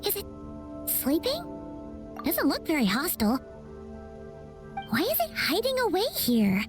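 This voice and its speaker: A woman voicing a small, excitable, childlike fairy companion in a video game, squeaky and full of exclamations. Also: measured pace, music in the background, heavily acted. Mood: curious. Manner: talks of self in third person, exclaims. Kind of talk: animated game voice acting.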